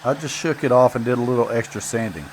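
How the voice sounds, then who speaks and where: A man's voice: medium pitch, fast, heavy background noise. A man casually narrating his own build project in a plainspoken, folksy, self-deprecating way. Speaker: woodworking video maker and narrator.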